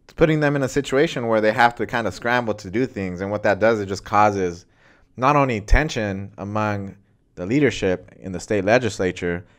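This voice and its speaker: An American man talks as a podcast co-host. His voice sits fairly low and sounds clean and resonant. He comments on the news in a conversational style. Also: normal speed.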